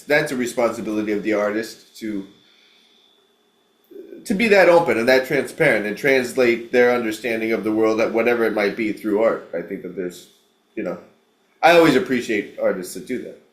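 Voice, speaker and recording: A man's voice: mid-range voice, expressive. Man, podcast host, reflective tone, roomy reverberant recording. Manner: thoughtful, reflective, quick short affirmations. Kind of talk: casual interview.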